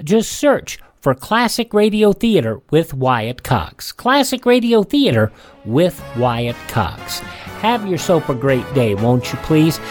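A man's voice: on the high side, sing-song, slow, faint music behind. A man hosting a radio program, giving a courteous, folksy scripted sign-off. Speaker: radio show host.

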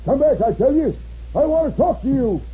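A man's voice: extremely high pitch, big pitch swings, brisk. A man playing a blustery, stubborn sheriff in a 1950s radio western. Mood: flustered, shouting. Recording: tinny noisy old radio recording.

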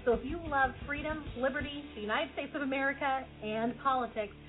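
A woman's voice: high-pitched, a little fast. A woman who hosts a radio show, reading an encouraging promotional spot. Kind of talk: promo read. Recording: tinny audio over clear music.

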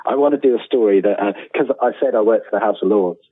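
A man's voice: lowish voice, fast talker, husky. A middle-aged British man chatting casually as an interview guest, self-deprecating, often repeating words as he tells anecdotes. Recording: thin phone-like line, roomy echo.

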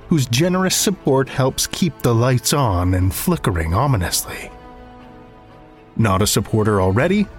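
A man hosting a horror fiction podcast, reading a script in a theatrical, playfully ominous manner. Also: mid-range voice.

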